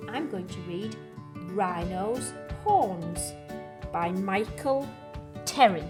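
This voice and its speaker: A woman reading a children's bedtime story aloud in an expressive, deliberate storytelling manner. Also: medium pitch, over music. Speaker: school storyteller.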